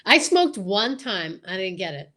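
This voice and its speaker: A woman co-hosting a casual talk show, asking questions and agreeing in an expressive way. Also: mid-range voice, measured pace.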